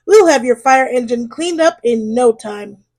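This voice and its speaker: A woman's voice: a little high, lively intonation, unhurried, darkish tone. A woman voicing a cheerful, bumbling male house painter from a picture book, with eager delivery. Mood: reassuring.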